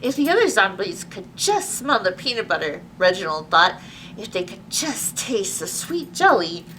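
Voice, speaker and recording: Bright, animated woman reading a picture book. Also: medium pitch, moderate pace. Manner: expressive, addressing young children with asides. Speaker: librarian hosting children's story time. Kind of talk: children's picture book read-aloud. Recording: distant mic with faint background noise.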